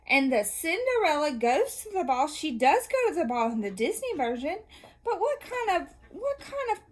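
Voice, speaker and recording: A woman's voice: high-pitched, very animated, moderate pace. A woman teacher reading aloud to young children, asking questions, answering them herself and offering encouragement. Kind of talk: read-aloud and question-and-answer lesson for kids.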